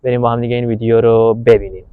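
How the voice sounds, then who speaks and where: Man, very rapid, hyped-up delivery, repeats words. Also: medium pitch, little pitch movement, narrow, tinny audio, heavy background noise. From Iran. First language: Persian. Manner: fast, hypey, repeats words when asking. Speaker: street interviewer. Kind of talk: street interview and channel intro.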